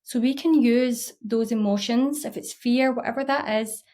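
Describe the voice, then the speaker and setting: Scottish woman in her 30s coaching on emotions, earnest and upbeat, fairly high voice. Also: steady tone, moderate pace, darkish tone. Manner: earnest, encouraging. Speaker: mindset coach. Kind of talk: casual talk to camera.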